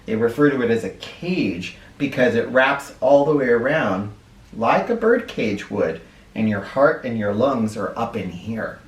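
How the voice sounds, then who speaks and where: A man reading a children's picture book aloud in an expressive, instructive way, speaking directly to young listeners. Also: medium pitch, a little slow, slight background noise. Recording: roomy echo, distant from the microphone.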